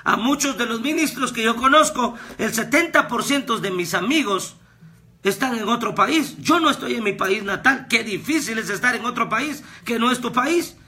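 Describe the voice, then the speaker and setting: A man, preaching a fervent devotional sermon as a pastor and prophet, with repetitions that rise to shouts. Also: extremely high pitch, lively intonation, moderate pace. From Guatemala. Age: 30s.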